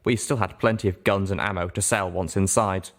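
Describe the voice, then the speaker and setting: A man with a fairly low voice narrates a story. He reads scripted prose in the style of an audiobook. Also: fairly even intonation, fairly quick.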